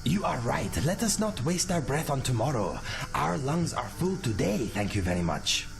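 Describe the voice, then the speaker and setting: A man plays a wry, loyal rogue from a fantasy game. His mid-pitched voice is very animated, with droll theatrical timing. Music plays clearly behind him. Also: normal speed. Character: witty, roguish male ex-bandit adventurer, wry and loyal. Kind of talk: video game companion dialogue.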